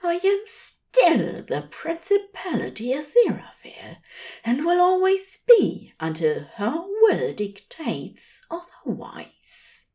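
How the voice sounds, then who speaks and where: A woman acts out a fussy, well-mannered gentleman angel from a fantasy tale. The voice is lifted and swoops expressively, with careful, dignified courtesy and an unhurried pace. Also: high-pitched, wildly swooping pitch, pitched above the performer's own voice, heavily acted. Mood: angry, gritted. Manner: polite, careful, formal. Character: polite, fussy male angel, wounded but dignified.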